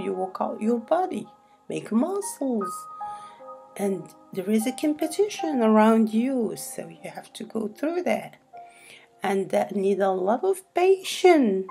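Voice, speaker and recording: A woman's voice: mid-range voice, gravelly, warm tone. A woman from Morocco whose first language is Arabic, speaking English in a rambling, unscripted tutorial full of restarts and fillers. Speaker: self-publishing tutorial host.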